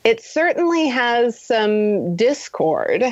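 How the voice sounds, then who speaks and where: A woman's voice: fairly high pitch, very animated, takes it slowly, background noise. A woman speaking as a guest writer in a casual interview, with a wry, dry-witted delivery. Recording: slightly dull, lo-fi connection.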